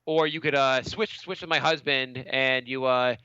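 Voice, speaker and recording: A man's voice: medium pitch, normal speed, clear, resonant tone, no echo, muffled recording. A man co-hosting a podcast, telling a story in casual chat and acting out other people's lines, a little congested from a cold. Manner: animated, acts out other people's lines in a raised voice. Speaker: podcast co-host.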